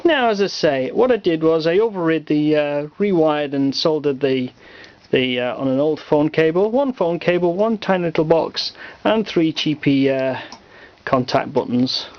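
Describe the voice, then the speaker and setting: Higher-voiced British man, casual demo. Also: lively intonation. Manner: halting, offhand explanation. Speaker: hobbyist presenter. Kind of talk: casual home demonstration. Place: small cluttered room at home. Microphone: handheld camera microphone. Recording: slightly muffled lo-fi camera audio.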